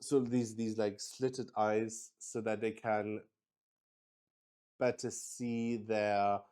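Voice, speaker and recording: Slow-paced man, casual and hesitant. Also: fairly low pitch, level tone, a little mellow, smooth. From Luxembourg. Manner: hesitant, thinking aloud. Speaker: solo roleplay stream player. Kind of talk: casual improvised chat while playing.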